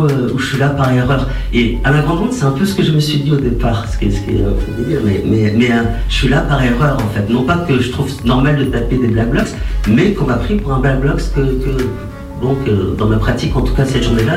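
A man giving first-hand testimony, speaking haltingly and repeating words as he searches for them. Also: medium pitch, a little mellow. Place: echoey room at a public colloquium. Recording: roomy, distant mic, music behind. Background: music.

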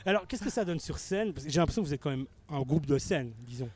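A man hosts a relaxed radio chat, his voice sitting a little high for a man. He talks easily and laughs often, restarting his sentences as he goes. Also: fast, noisy background. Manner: chatty, laughs easily, stumbles over words. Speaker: radio host. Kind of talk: casual radio interview.

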